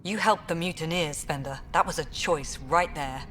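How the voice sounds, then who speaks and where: An actress playing a weary, stern station director in a video game, firm and candid. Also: female voice, low-pitched, moderate pace, fairly bright tone. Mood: stern, bitter.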